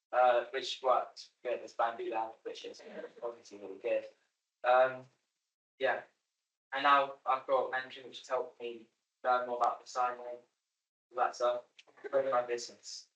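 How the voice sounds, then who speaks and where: A man in his thirties, speaking haltingly with many fillers about his small business. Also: mid-range voice, sharp tone. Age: 30s. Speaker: guest, small business maker. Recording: dull roomy sound from a distant mic.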